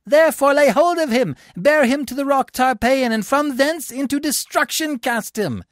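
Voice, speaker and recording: A reader putting on a shouting, accusing Roman tribune. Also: mid-range voice, lively intonation, moderate pace, dry recording, clean studio sound, pitched above the performer's own voice, lightly acted. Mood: condemning. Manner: declamatory, accusing. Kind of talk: theatrical Shakespeare read-aloud.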